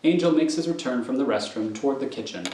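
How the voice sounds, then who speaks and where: A man's voice: medium pitch, lively intonation, fast, background noise. A man reading scripted stage directions as the narrator of a radio drama. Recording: echoey room, prominent music behind.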